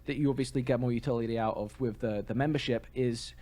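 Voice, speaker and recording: A man's voice: medium pitch, steady tone, fast, warm tone. A man co-hosting a podcast, talking in a casual, conversational style. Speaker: podcast co-host.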